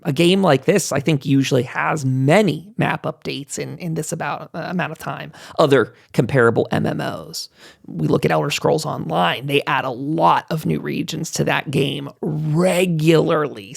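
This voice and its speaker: A man's voice: on the high side, gravelly, no room sound, studio quality. A man hosting a casual gaming roundtable, talking in a rambling way and often interrupting himself. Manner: rambling, self-interrupting, hedging aloud. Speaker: podcast host moderating a roundtable. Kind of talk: casual panel discussion about gaming.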